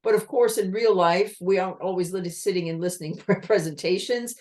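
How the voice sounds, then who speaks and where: A woman giving an online communication talk with slides, explaining in a lively manner and asking her listeners rhetorical questions over a video call. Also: lowish voice, brisk. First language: English.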